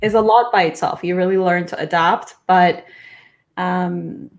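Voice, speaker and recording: A young woman from Iran speaks as a guest in a casual interview. Her voice sits a little low, and she talks in a chatty, loose way. She laughs often and restarts sentences as she goes. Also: steady tone, measured pace. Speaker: immigration lawyer, podcast guest.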